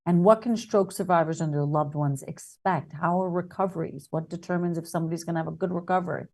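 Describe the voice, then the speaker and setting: Lower-pitched woman hosting an interview. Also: steady tone, fast talker, a little mellow. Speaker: interview host. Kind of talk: conversational health interview.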